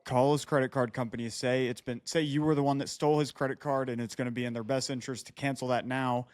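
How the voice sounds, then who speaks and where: Guy cohost on a podcast, jokey blunt casual talk, medium pitch, dry room. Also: level tone, fast, a little mellow, no echo. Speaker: podcast co-host. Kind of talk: casual chat.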